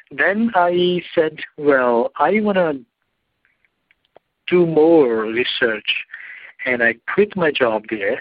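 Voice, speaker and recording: A man's voice: mid-range voice, no room sound, narrow, tinny audio. A man from Pakistan with a Pakistani accent, telling his story as a journalist guest in an interview in long, winding sentences. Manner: non-native phrasing, long winding sentences. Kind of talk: interview answer, personal story.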